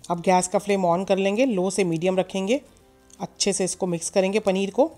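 Woman, mid-pitched, calm cooking-show voice-over with light music behind. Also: normal speed. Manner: calm step-by-step instruction, mixing in English words. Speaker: cooking channel host. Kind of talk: recipe voice-over.

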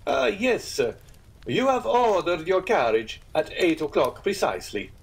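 A man's voice: a little high, very animated, a little slow, voice pushed up. A man voicing a proud, easily piqued Italian innkeeper in a storybook, earnest and emphatic. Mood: alarmed, insistent.

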